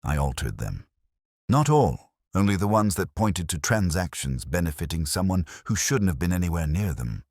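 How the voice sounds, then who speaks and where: Man reading as a guilt-ridden woman, quiet, hesitant, tense, very deep pitch, dry sound, audio drama. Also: big pitch swings, normal speed, dry recording. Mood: guilty.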